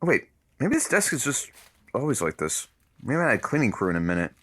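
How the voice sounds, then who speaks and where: A testy, put-upon male producer in a comedy podcast. His voice is forceful and sharp, with lively, flustered swings of pitch. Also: fast talker, husky. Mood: aggrieved. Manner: grumbling, flustered. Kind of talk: audio comedy acting.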